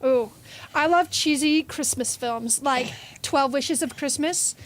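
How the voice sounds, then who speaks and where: A woman's voice: high-pitched, takes it slowly, slight background noise. A woman chatting in a conversational style, as a performer in an audio play.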